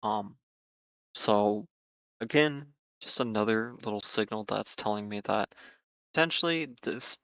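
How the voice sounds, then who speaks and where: A man hosting market commentary for viewers, speaking in a measured, cautious way with frequent ums and repeated words while losing his voice. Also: on the low side, sharp tone, no echo, poor audio. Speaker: market analysis host.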